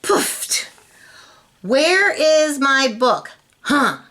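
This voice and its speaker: A woman voicing an excitable, easily flustered young schoolboy prankster in a storybook, with exclamatory, emphatic delivery. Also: high-pitched, drawn-out words, pitched above the performer's own voice, heavily acted. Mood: effortful reading.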